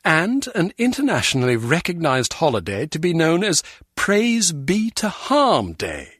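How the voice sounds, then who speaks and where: A stern, condescending intelligence officer in a spy video game. The voice is husky and animated in pitch, clipped and formal, captured very dry. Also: male voice, measured pace, no room sound, heavily acted. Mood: dry disdain.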